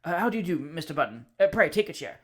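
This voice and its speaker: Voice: mid-range voice, sing-song, brisk. A reader voicing Mister Minns, a prim, fussy bachelor who stammers with strained politeness in a storybook reading. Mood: flustered. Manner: stammering, put-upon politeness.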